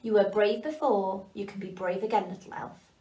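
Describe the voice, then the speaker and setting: A woman voicing a wise, kind woodpecker who explains things in a calm, reassuring way. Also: medium pitch, normal speed, echoey room, natural voice, poor audio. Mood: encouraging.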